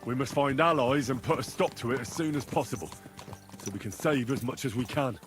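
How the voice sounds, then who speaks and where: A male actor plays an earnest young squire from a medieval video game. His medium-pitched voice is steady, plain and reassuring. Music and horse hooves are clearly heard behind him. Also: fairly quick, natural voice. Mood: resolute.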